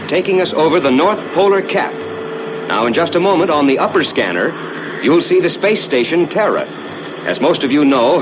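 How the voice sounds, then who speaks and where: Courteous rocket captain, animated vintage narration. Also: male voice, on the high side, muffled recording. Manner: measured, courteous, explanatory announcing. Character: calm, reassuring male rocket ship captain addressing passengers. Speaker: spaceship captain and tour narrator. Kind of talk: 1950s theme park ride narration. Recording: noisy vintage 1950s ride soundtrack.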